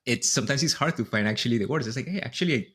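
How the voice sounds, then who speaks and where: A man's voice: mid-range voice, very fast, a bit bright, husky. A man from Colombia whose first language is Spanish, hosting a casual interview. He responds supportively with short affirmations. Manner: supportive, many short "mm-hmm" and "right" affirmations. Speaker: podcast host.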